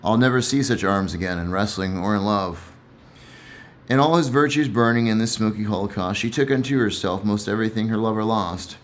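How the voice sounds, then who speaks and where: A man's voice: fairly low pitch, a little fast. A man who reads and comments on poetry in a casual, reflective way, somewhat hesitant and repeating himself.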